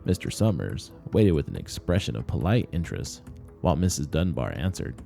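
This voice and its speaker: A man reading a short story aloud as narrator, in expressive scripted storytelling. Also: a bass voice, brisk, creaky, faint music behind. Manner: expressive, acts out dialogue.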